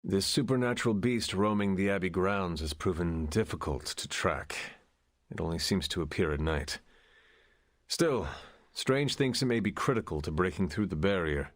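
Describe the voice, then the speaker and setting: An actor playing a solemn, earnest resurrected demon hunter in a video game, speaking formally and with measured phrasing. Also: male voice, sits low, dry recording, clean studio sound, natural voice. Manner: formal, measured, avoids contractions. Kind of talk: video game cutscene acting.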